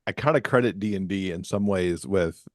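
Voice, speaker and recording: Lowish, dry-sounding man chatting casually. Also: moderate pace, no echo. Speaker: author and podcast guest. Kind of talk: casual chat.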